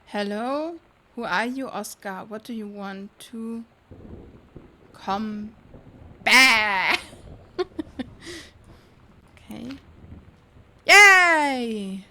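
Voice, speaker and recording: Female game streamer: bright, slightly high voice, casual think-aloud commentary in sparse asides. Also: animated, very slow, pauses often.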